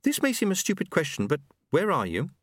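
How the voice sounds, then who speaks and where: A man voicing a brisk, practical young woman with a dry, matter-of-fact wit. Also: on the high side, wildly swooping pitch, fairly bright tone, no room sound, pitched above the performer's own voice, exaggerated voice. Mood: wry. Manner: crisp, matter-of-fact, wry.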